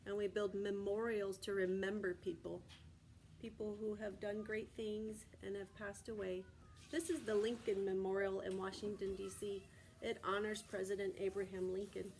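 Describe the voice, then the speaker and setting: A woman's voice: medium pitch, level tone, normal speed, a little mellow, a little hiss or hum, rough recording. An American woman, a preschool teacher from Texas, reads aloud to young children in simple phrases, stopping to explain and ask questions. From Texas, United States.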